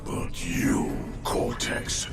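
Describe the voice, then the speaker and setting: An actor voicing an evil, tyrannical mask spirit in a video game, growling and shouting grandiose threats in fury. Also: male voice, extremely slow. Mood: furious, menacing. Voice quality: gravelly, growling. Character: evil floating mask spirit, booming, tyrannical, furious villain. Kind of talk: theatrical video game villain acting. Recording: roomy echo, distant, loud music.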